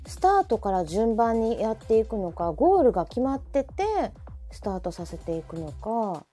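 Female chat host, mellow and slightly husky, attentive and conversational. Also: on the high side, big pitch swings, moderate pace. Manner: attentive, frequent backchannels, recaps what she hears. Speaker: talk show host and picture book writer. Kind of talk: casual chat, interviewing a guest. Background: background music.

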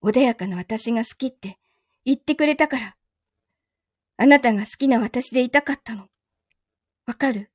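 A woman's voice: a little high, moderate pace, pauses often, no room sound, clean studio sound, close to an everyday voice. A woman playing a betrayed, possessive young lover in a live audio drama, with a Kansai Japanese accent and a controlled, coldly teasing manner. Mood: bitter, possessive.

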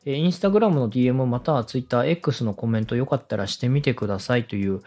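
A man's voice: mid-range voice, fast talker, a little mellow, faint music behind. A man hosting a show, politely giving step-by-step advice on presenting and slipping in example phrases. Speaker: radio director and podcast host giving advice.

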